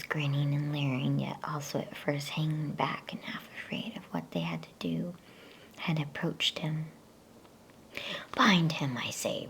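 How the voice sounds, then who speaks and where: A woman reading a storybook aloud in a hushed, soothing ASMR whisper, taking her time as a bedtime reader. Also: low voice, creaky, slight background noise, poor audio.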